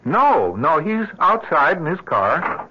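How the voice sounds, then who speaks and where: An actor playing a frightened, confused, gentle husband in an old radio drama, hesitant and pleading. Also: male voice, a little high, very animated, slow pace, background noise. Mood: coaxing. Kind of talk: 1970s radio drama acting. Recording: muffled, lo-fi old radio broadcast.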